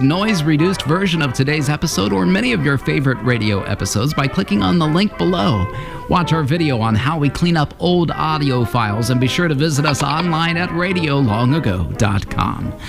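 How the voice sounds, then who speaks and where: A man presenting in scripted narration, with a creaky edge to his voice. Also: a little high.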